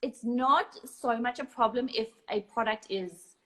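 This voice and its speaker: A woman's voice: fairly high pitch, measured pace, fairly bright tone. A woman with a South African accent, a photographer talking through her tips on a live video call, explaining with frequent ums and restarts. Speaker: guest photographer. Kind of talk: casual live chat.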